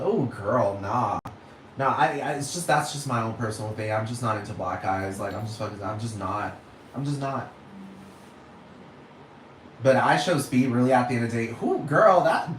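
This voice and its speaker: A man's voice: mid-range voice, a bit bright, slight background noise. A young man with a Puerto Rican accent, hosting a solo podcast in a rambling, profane rant that keeps asking if you understand. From Puerto Rico, raised in Texas. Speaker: podcast host. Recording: roomy room with faint ambience.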